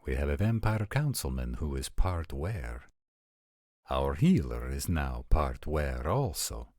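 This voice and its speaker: A man voicing a stern, formal old vampire prince in a measured roleplay audio drama. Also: very deep voice, very animated, a little mellow, dry recording, clean studio sound, exaggerated voice. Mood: grave, authoritative.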